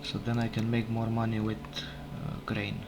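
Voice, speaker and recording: Slow, low-voiced man narrating his gameplay. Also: completely flat delivery, noisy background. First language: not English. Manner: unhurried, thinking aloud, self-correcting. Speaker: let's play gamer. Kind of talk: casual gameplay commentary. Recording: game music playing behind. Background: game music.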